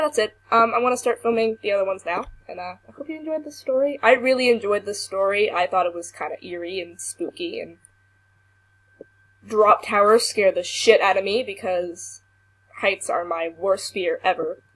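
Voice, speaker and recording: A young woman with a husky, breathy voice, worn down and hoarse from being sick. She reads a creepy tale aloud at a middling pitch, now and then stumbling and correcting herself. She also chats casually and directly to her viewers. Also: fairly bright tone, rough recording. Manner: halting reading that stumbles and restarts words. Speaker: YouTuber. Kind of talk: reading a creepy story aloud, then casual vlog chat.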